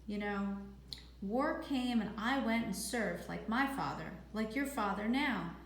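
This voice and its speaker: A woman reading a storybook, voicing a gruff, brusque old grandfather with a blunt, clipped manner. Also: high-pitched, measured pace, fairly bright tone. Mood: stern. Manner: clipped, blunt, matter-of-fact. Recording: echoey room with faint noise.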